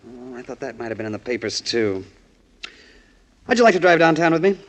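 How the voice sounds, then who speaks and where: An actor playing a wry, flippant amateur sleuth, weary but game, in 1940s radio drama style. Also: male voice, medium pitch, big pitch swings, fairly quick, close to an everyday voice, rough recording. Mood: curious.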